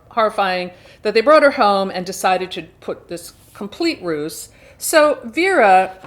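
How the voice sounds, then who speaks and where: A woman's voice: medium pitch, fairly bright tone. A woman hosting a book video, talking casually and candidly, recounting a story with her own opinions mixed in. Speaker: book review video host. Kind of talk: casual chatty book discussion.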